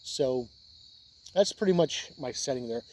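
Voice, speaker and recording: An American man from Indiana hosting a video, talking casually and explaining ideas in halting, unhurried phrases with pauses. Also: mid-range voice, lively intonation, warm tone. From Indiana, United States. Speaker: host of a tabletop role-playing video channel. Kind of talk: casual explainer talk to camera.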